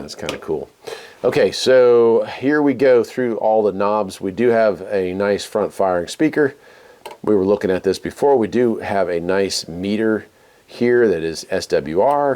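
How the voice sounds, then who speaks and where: An American man with a fairly low voice hosts a hands-on demonstration. He speaks casually and conversationally, in a folksy way, as if thinking aloud while he shows things off. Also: darkish tone. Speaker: video host.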